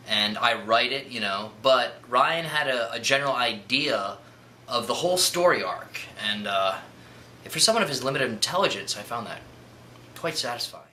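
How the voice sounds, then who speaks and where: A man with a strikingly bright, forward voice at medium pitch answers interview questions. He is dry and wry, with a little noise behind him. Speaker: comic book writer. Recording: faint background noise.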